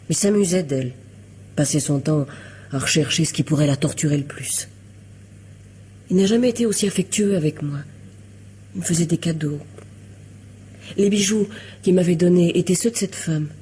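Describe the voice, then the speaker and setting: An actress in a French radio mystery drama playing a weary, lovelorn young woman, wistful and hesitant, confessing in a hushed way. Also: low voice, a little hiss or hum, lightly acted.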